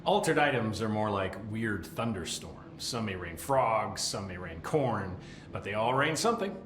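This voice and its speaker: An actor playing a fussy, deadpan middle-aged keeper of strange items, with dry rambling talk and awkward fillers. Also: male voice, fairly low pitch, normal speed. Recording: echoey game cutscene with faint hiss.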